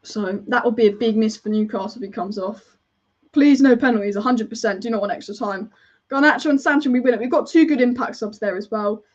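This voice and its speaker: A woman with a fairly high voice rambles through a live match as a devoted fan, chatting, restarting her thoughts and reacting to each move. The audio is dull and lo-fi, like a home stream. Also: brisk, darkish tone. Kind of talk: live match watch-along commentary.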